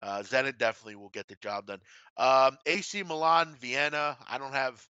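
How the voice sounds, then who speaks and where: A man's voice: mid-range voice, a little fast, pushed and bright, clean tone, dry recording, lo-fi sound. A man hosting a casual sports betting discussion, blunt and opinionated in a colloquial way. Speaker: host of a sports betting show.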